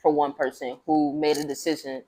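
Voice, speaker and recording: A woman's voice: low-pitched, level tone, a little fast, mellow, slightly rough. A woman with a light voice, a singer talking loosely with many fillers as a podcast guest. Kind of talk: casual conversation.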